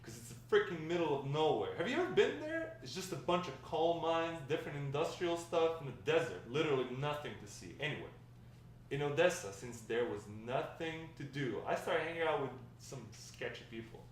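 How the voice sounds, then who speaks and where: A man playing a nostalgic, talkative Mexican-American grandfather in a table read, warm and encouraging, rambling with hesitant pauses. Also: fairly high pitch, sing-song. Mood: reminiscing. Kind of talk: amateur table read of a script. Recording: echoey room, distant mic, faint noise.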